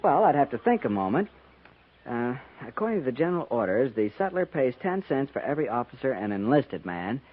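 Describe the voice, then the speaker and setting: Old radio recording, nervy american army pencil pusher, slightly husky, talks fast, super deferential and fussy. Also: male voice, medium pitch, very animated. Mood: eager, flustered. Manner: deferential, pedantic, flustered. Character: earnest, fussy by-the-book junior army officer. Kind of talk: 1950s radio drama acting. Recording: dull 1950s radio recording.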